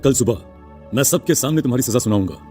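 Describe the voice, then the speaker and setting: A man's voice: mid-range voice, lively intonation, fast, dark tone, soft background music, lightly acted. A man voicing a stern, grave church Father in a cartoon drama, solemn and fatherly. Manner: solemn, authoritative. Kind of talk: Hindi cartoon drama voice acting.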